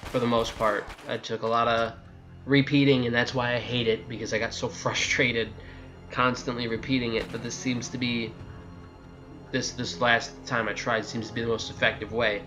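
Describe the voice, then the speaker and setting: A man's voice: medium pitch, sharp tone. A man giving a casual, sweary, exasperated video game walkthrough commentary.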